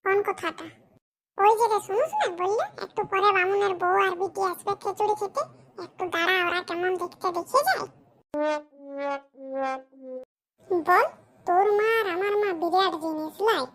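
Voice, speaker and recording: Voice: very high voice, a little fast, a bit bright. A performer voicing a cheeky, grumbling village boy with a rural West Bengal accent in a comedy cartoon. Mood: scheming. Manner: brash, grumbling colloquial banter. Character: cheeky, mischievous poor village boys with squeaky voices.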